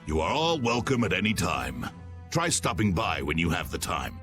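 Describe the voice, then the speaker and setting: Deep-voiced, courteous host. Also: male voice, sing-song, moderate pace, music in the background. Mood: welcoming. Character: courteous, hospitable man of authority. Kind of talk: English video game voice acting.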